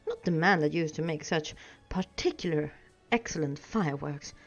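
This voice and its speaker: A woman voicing a fussy, polite and excitable male hobbit homebody in a storybook reading. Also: deep voice, normal speed. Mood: excited. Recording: dull sound with music behind.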